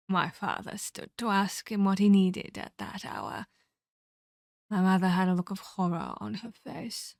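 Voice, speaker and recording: A woman voicing the centuries-old ghost of a murdered girl in a horror ASMR roleplay, eerie, menacing and wistful, speaking deliberately with breathy, whispery delivery. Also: mid-range voice, dry recording, clean studio sound, close to an everyday voice. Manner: slow, deliberate, theatrical storytelling.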